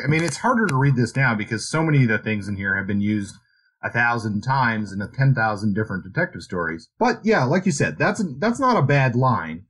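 A man with a medium-pitched voice co-hosts a book podcast. He speaks casually and conversationally, feeling his way through his points in a measured, slightly hedging manner. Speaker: podcast host.

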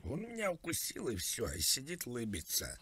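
A man's voice: mid-range voice, huge pitch swings, moderate pace, sharp tone, husky. A man making a prank call, posing as an older man and talking in a plaintive, rambling, mock-naive way. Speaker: prank caller.

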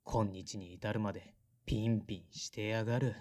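A man's voice: fairly low pitch, steady tone, normal speed, a little mellow, lightly acted. A man playing a blunt, resentful son in his thirties, in audio drama dialogue and narration. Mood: wry, recounting. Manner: sullen, blunt. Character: resentful 35-year-old son, former teenage rock guitarist.

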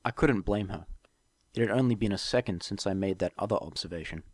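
A man's voice: lowish voice, fairly quick, a little mellow, no echo. A man reading a scripted first-person story as an amateur audiobook narrator.

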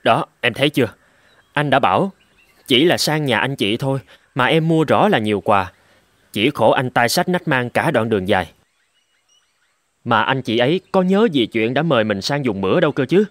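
A man voicing a mild, home-loving young husband in a cartoon drama, gently explaining himself. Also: mid-range voice, animated, moderate pace, dry recording, close to an everyday voice. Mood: grumbling.

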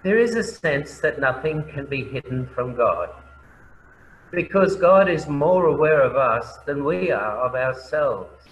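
An Australian man, a priest, giving a gentle, reverent sermon. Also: medium pitch, animated, a little slow. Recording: echoey room, faint background noise.

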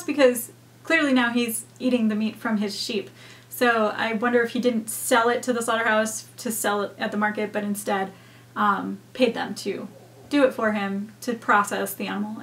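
A woman's voice: fairly high pitch, a bit bright. A young woman hosting a reaction video from a room at home, talking casually and thoughtfully with many fillers.

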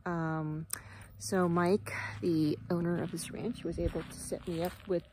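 Woman in her 40s rambling into her phone outside, lowish voice, bit of wind and outdoor hum. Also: level tone, measured pace. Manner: rambling, chatty, many fillers, sometimes trails into a whisper. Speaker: vlogger and wellness guide. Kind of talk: casual chatty vlog to camera. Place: outdoors at a ranch beside a travel trailer. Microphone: phone held at arm's length.

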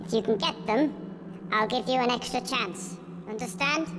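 A man's voice: extremely high pitch, normal speed, noisy background. A man voicing a short, put-upon Grim Reaper in a video game, British, irritable and sardonic as he grumbles and swears. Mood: weary, exasperated. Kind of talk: video game cutscene dialogue.

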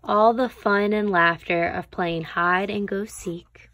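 A woman reading a children's picture book aloud as a teacher would, with deliberate phrasing for young listeners. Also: mid-range voice, steady tone, a bit bright, dry recording. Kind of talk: read-aloud children's storybook narration.

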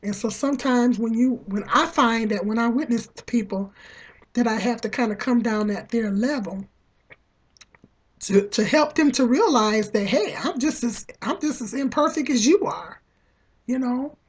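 Husky-voiced woman with a cold. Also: on the high side, normal speed. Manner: rambling, repeats herself, many ums. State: has a cold, hoarse voice. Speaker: vlogger. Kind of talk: casual talk to camera. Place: small room at home, indoors. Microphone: laptop webcam at desk.

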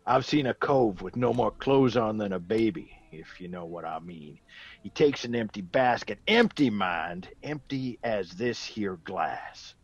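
A gruff old soldier spinning yarns in a radio drama. His voice is low and creaky, and he takes his time with emphatic, colloquial phrasing. The audio is a little dull. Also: lively intonation, a little slow, dry recording, heavily acted. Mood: boastful, insistent. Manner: rambling storyteller, colloquial and emphatic. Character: gruff, boastful old soldier back from India, fond of whiskey.

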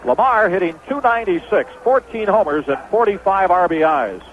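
Tinny vintage radio baseball announcer, high-pitched man. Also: lively intonation, normal speed. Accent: American. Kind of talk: 1970s radio sports commentary. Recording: noisy phone-band vintage radio broadcast.

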